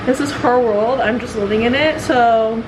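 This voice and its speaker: A woman with a fairly high voice talks in a casual, chatty vlog style. Her delivery rambles and is lightly self-deprecating. Clear ambient noise runs behind her voice. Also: normal speed. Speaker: vlogger.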